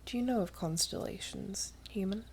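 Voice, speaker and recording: A woman playing an ageless, gently teasing, wistful elven maiden in an ASMR roleplay, speaking softly and half-whispered with archaic, unhurried phrasing. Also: mid-range voice, slight background noise, natural voice. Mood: wistful, hushed. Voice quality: soft, breathy, half-whispered. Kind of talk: ASMR roleplay, slow intimate storytelling.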